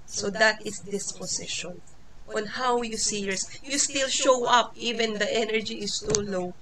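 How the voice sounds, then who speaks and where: A woman's voice: mid-range voice, normal speed, a crowd in the background, low-quality recording. A Filipino woman in her forties whose first language is Tagalog, giving an informal lesson in English with many fillers, restarts and small laughs. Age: 40s. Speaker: teacher. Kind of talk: informal lecture.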